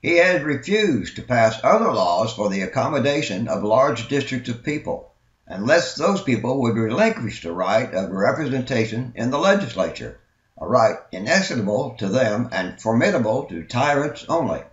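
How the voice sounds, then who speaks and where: A man's voice: medium pitch, big pitch swings, moderate pace. A man reading a historical document aloud formally as a volunteer. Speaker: volunteer reader. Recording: dull, echoey room.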